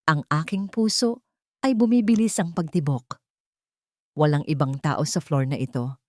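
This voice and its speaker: A woman's voice: low-pitched, normal speed, a little mellow, no echo, clean studio sound. A woman with a Filipino accent narrating a dramatic first-person story as an audiobook reader.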